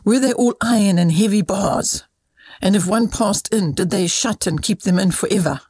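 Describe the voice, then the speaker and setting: A woman voicing eager, curious young children from a storybook, excited and full of wonder. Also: mid-range voice, normal speed, husky, no room sound, studio quality, lightly acted.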